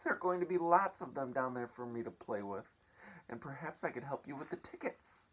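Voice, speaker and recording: A man's voice: medium pitch, lively intonation, a little fast, fairly bright tone, slight background noise, pitched above the performer's own voice, natural voice. A man voicing a timid, lonely little boy who begs meekly in a storybook. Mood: pleading. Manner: begging, meek. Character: timid, lonely, pleading small orphan boy.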